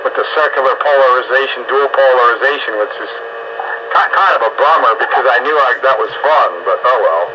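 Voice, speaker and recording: A man chatting casually as a radio hobbyist over two-way radio, folksy and halting, with a grumbling way of talking. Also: mid-range voice. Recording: muffled, noisy two-way radio transmission.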